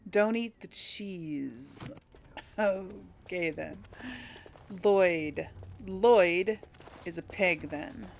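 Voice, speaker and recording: Casting: female game streamer, unhurried and hesitant, chatting casually as she plays, faint background noise. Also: fairly low pitch, creaky. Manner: hesitant, thinking aloud. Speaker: video game streamer role-playing for an audience. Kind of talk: casual game playthrough commentary.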